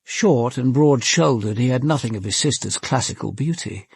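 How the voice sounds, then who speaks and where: An actor playing a composed, observant police commander with an English accent, in measured, formal radio drama narration and questioning. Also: male voice, mid-range voice, vocal fry, darkish tone, breathy edge, no echo, studio quality. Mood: reflective, measured. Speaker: detective narrator.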